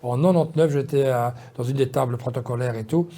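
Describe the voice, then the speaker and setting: A man's voice: mid-range voice, fairly quick, mellow. A man, talking casually in an interview, rambling with many fillers and restarts. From Belgium. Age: 50s. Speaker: politician guest.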